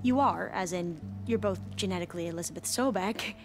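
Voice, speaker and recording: An actress playing an excitable young woman scholar in a video game, earnest and gushing, rambling as she goes. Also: lowish voice, lightly acted. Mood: friendly, slightly awkward. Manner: gushing, halting and self-correcting when flustered. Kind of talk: video game dialogue acting. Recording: loud music behind the voice. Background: music.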